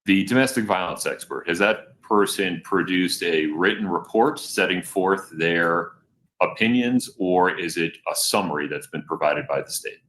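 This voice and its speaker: A man presiding as judge at a court hearing, asking measured, deliberate questions. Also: low-pitched, a bit bright, slight background noise.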